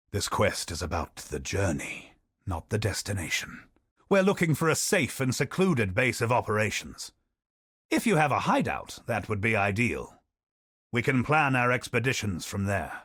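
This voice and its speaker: An actor playing a bold, honor-bound adventurer in a video game, with theatrical battle cries. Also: male voice, lowish voice, a bit bright, breathy edge, no echo, studio quality, heavily acted. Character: bold male knightly treasure-hunting adventurer.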